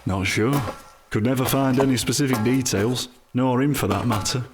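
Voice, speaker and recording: A calm, practical thief of medium pitch played by a man in a fantasy audio drama. He speaks with a wry, unbothered air. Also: moderate pace, lightly acted.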